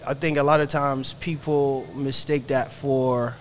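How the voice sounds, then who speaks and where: A reflective man from Ghana speaks at an everyday mid pitch in a relaxed studio chat. He thinks aloud, circles back, and checks in with his listener. A faint hum of room noise sits under his voice. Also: measured pace. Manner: reflective, tags lines with "you know what I mean". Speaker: guest, fashion creative. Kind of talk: casual podcast conversation. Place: indoor studio.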